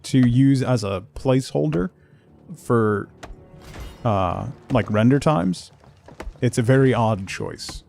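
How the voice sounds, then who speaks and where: A man's voice: medium pitch, lively intonation, takes it slowly, creaky, pauses often, darkish tone. A man chatting casually as he streams a game, thinking aloud in short fragments. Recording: music behind the voice. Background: music.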